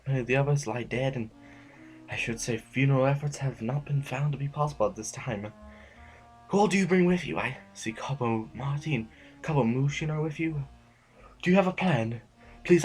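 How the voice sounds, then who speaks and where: An actor playing an anxious, weary, conscientious official in an audio drama, speaking formally with hesitant stammers. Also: male voice, fairly high pitch, natural voice. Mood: grave, then curious. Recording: music under the voice. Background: music.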